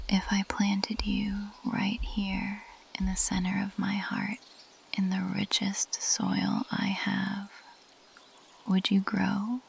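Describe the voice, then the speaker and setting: A woman performs as an ancient female plant monster in an ASMR roleplay. She whispers in a breathy, creaky voice, unhurried and intimate. Her manner is teasing, possessive and predatory, with seductive curiosity. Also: mid-range voice, slight background noise. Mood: intimate musing. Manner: intimate, teasing, slow and deliberate.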